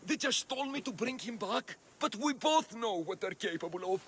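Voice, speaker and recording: A man's voice: high-pitched, expressive, normal speed, fairly bright tone, slight background noise. An actor playing a cowardly cult henchman who stammers and pleads frantically under threat. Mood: panicked. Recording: orchestral game score underneath.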